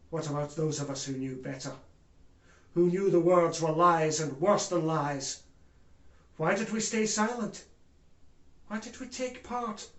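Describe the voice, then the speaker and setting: Man playing remorseful German ex-judge, male, theatrical courtroom monologue, grave oratory, higher-pitched voice, roomy echo. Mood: bitter, questioning. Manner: grave, deliberate oratory with swells from hushed to loud. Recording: echoey room.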